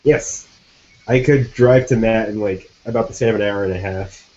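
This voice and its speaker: Laid-back slangy man, mellow voice. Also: on the low side, normal speed. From Virginia, USA. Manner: laid-back slangy talk, says "super duper" and "dog". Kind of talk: casual live-stream chat. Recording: muffled online call audio.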